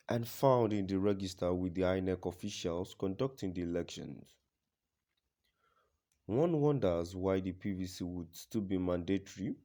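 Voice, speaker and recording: A man with a very deep voice reads the news from a script. His delivery is formal and deliberate, in the manner of a news reader presenting a written report. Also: warm tone.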